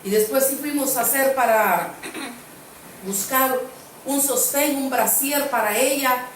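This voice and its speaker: A woman with a high voice preaches an earnest sermon in a church, driving her points home by repeating phrases. She is picked up from some distance, so her voice rings around a very reverberant hall. A faint hum of room ambience sits underneath. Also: a little slow. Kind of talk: sermon with personal storytelling. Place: church sanctuary.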